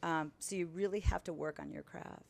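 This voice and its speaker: A middle-aged woman entertainer, a guest in an interview, talking in a casual, animated and emphatic way. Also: deep voice, fairly quick, vocal fry. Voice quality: powerful voice.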